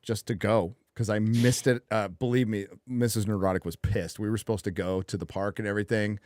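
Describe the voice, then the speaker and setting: A man's voice: fairly low pitch, steady tone, brisk, darkish tone, no echo. A middle-aged man hosts a live stream in an easygoing way, trading banter and reading out viewer donations. He sounds close to the microphone, with a dry sound and no room around it. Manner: laid-back, reads out donations. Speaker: podcast host. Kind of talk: casual live-stream chat.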